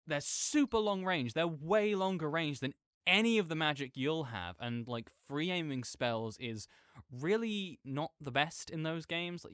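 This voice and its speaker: A man's voice: a little high, fairly bright tone, smooth, no room sound. Guy playing ur nerdy roommate on a rambly gamer rant, keeps correcting himself, dry close sound. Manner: rambling, self-correcting, halting, apologetic asides. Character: opinionated gamer roommate ranting good-naturedly about games. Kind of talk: rambling casual rant, sleep-aid audio roleplay.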